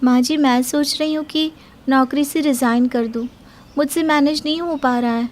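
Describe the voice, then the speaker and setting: A woman's voice: high-pitched, fairly even intonation, normal speed, darkish tone, lightly acted. A woman voicing an overworked, earnest young Indian working wife in a moral-story cartoon, speaking plaintively. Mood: weary, pleading.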